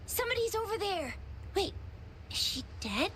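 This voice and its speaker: An actress playing a curious, excitable young girl in a video game cutscene. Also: very high-pitched, moderate pace, a little hiss or hum, heavily acted. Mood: excited, alarmed.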